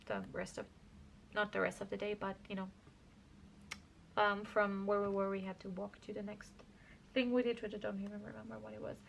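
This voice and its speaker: Young female crafter from Austria, chatty and meandering, gravelly fry, faint background noise. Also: medium pitch. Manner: rambling, self-correcting, chatty. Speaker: craft vlogger. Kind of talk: casual scrapbook walkthrough.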